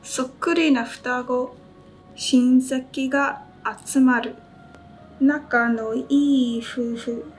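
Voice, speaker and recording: Higher-voiced woman, unhurried casual study chat. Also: fairly even intonation. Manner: self-conscious, careful when pronouncing foreign words. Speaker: study vlogger and Japanese learner. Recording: background music under voice. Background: music.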